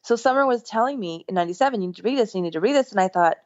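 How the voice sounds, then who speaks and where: A woman's voice: rapid-fire, dry recording. Enthusiastic rambling woman on a dull line. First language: English. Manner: enthusiastic, rambling, with lively jumps in pitch. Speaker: podcast guest, podcast co-host. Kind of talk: casual storytelling interview.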